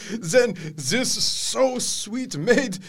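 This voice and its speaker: Older professor, gravelly, lively intonation, grandiose, halting phrasing, radio play. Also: male voice, very high voice, exaggerated voice. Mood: manic, bitterly laughing. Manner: theatrical, halting foreign phrasing. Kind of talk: radio play acting, dramatic monologue.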